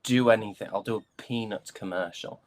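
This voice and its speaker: A British man speaks as a guest in a casual interview, in a fairly low voice. He is hesitant and jokey, with a self-deprecating manner. The sound is dry, with hardly any room in it. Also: a little fast, no room sound. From United Kingdom.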